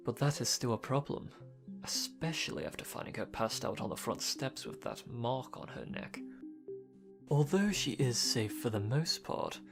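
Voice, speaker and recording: A man's voice: medium pitch, vocal fry, lightly acted. A man playing a suave, teasing and devoted guardian demon with a menacing edge in an audio drama.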